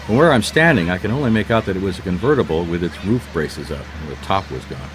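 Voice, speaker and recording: A man's voice: low voice, expressive, quick pace, noisy background. An actor playing a world-weary male private detective in a noir radio drama, dry and probing. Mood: narrating. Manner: dry, probing, hesitant coaxing.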